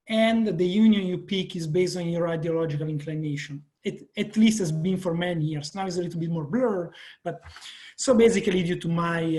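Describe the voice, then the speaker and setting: A man's voice: sits high, a little mellow. A man from Italy with an Italian accent, speaking as a panelist at an online academic conference, earnest and often restarting his sentences. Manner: earnest, searching for words, frequent restarts.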